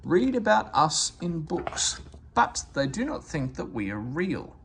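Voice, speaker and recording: A man's voice: a little high, sing-song, a little slow, vocal fry, a little hiss or hum, full character voice. A man voicing a stern, pompous railway boss in a storybook reading, commanding and grand. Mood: pompous, announcing.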